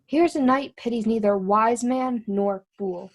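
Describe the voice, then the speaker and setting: Woman as a high-voiced, witty court fool. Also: measured pace. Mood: pleading. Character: court fool, witty, riddling companion to old king. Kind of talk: theatrical Shakespearean reading with rhymes.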